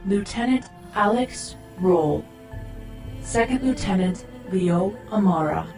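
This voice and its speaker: An actress voicing a female starship computer that gives flat, precise announcements in a fan audio drama. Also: mid-range voice, takes it slowly. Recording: roomy with music underneath.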